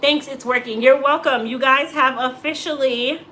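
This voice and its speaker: A woman's voice: high voice, fairly even intonation, normal speed, bright, forceful tone, slight background noise. A middle-aged American woman, a hairstylist, chatting casually with live-stream viewers, joking and teasing as she answers. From United States. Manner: joking, teasing, laughs often.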